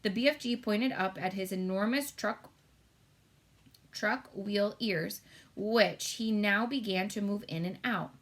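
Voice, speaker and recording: A woman narrating a children's book aloud in an expressive storytelling style. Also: medium pitch, slow. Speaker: storybook reader.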